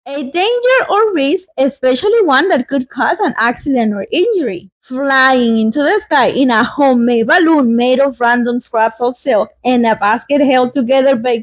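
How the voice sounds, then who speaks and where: Animated, high-pitched woman host. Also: measured pace, no echo, lo-fi sound. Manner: playful, dramatic, slangy. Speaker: host of a read-along English lesson channel. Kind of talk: storybook narration and chatty English teaching.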